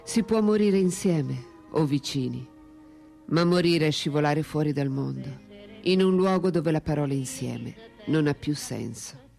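Radio drama role: a woman writer, grave and tender, creaky mid-pitched voice, composed delivery, faint music behind. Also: expressive, measured pace, dark tone, exaggerated voice. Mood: grave, sorrowful. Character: French woman writer, grave, tender lifelong companion of philosopher. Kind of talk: radio drama acting and intimate narration.

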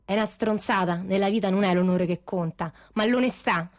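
A woman's voice: mid-range voice, a little fast, dry recording, studio quality, natural voice. An actress playing a crude, insistent young girlfriend with a Roman accent in a radio drama. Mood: pleading. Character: young Roman girlfriend, Eurydice, pleading, defiant, foul-mouthed.

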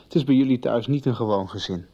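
A man's voice: mid-range voice, quick pace, warm tone, breathy edge, muffled recording. An actor playing a shy, reserved young man in a Dutch radio soap. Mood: shy, curious.

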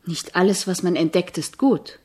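An actress playing an eager, admiring composition student in a radio drama, speaking in an earnest, searching, deferential way with a husky voice. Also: female voice, lowish voice, normal speed, dark tone. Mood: admiring, questioning. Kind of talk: German radio drama acting.

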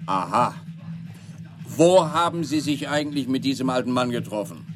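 A man's voice: mid-range voice, expressive, moderate pace, close to an everyday voice. A man acts a stern police officer in a radio drama. He, with faint music behind his questioning. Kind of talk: radio play dialogue.

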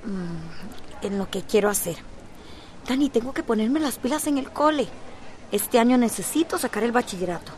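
Actress as high-voiced, earnest schoolgirl. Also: animated, normal speed, a little mellow, a little hiss or hum, close to an everyday voice. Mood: pensive. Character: earnest high-school girl, dreamy but newly practical. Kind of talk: radio drama acting.

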